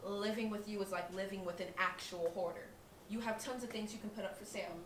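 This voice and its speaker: An actress playing a brash, dramatic young woman roommate in a live stage comedy, sassy and loud. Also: mid-range voice, fairly even intonation, quick pace, natural voice. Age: young adult. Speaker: stage actor. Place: small theatre stage. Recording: roomy stage, distant mic, faint noise.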